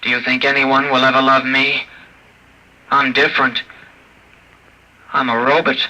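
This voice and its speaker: Lonely childlike robot. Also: male voice, medium pitch, level tone, measured pace, pushed and bright, poor audio. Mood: plaintive. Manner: simple, earnest questions. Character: childlike male robot, gentle, lonely and naive. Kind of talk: 1950s radio drama acting.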